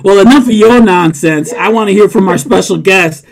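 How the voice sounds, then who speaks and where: Male podcast host, fairly high voice, relaxed joking delivery with lots of "uh"s. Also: moderate pace, creaky, crowd noise, poor audio. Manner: laid-back, joking, stalls on fillers. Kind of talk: casual podcast chat.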